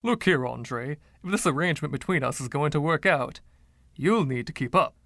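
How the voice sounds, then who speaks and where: A man's voice: on the high side, animated, moderate pace, fairly bright tone, no room sound, clean studio sound. A man voicing a boastful, giggly 1920s dandy in a storybook reading, with a silky voice and theatrical, teasing old-fashioned slang. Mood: teasing, dismissive.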